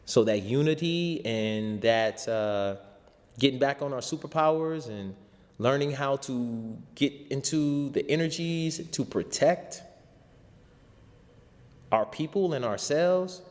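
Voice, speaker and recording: A man's voice: mid-range voice, expressive, takes it slowly. A man giving an impassioned, sermon-like spiritual talk in informal vernacular, with rhetorical repetition. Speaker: show host and spiritual teacher. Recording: long hall-like reverb.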